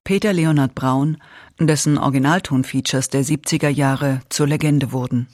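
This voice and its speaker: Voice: medium pitch, fairly even intonation, normal speed, darkish tone, no room sound, studio quality. An announcer reading scripted introductions for a radio documentary.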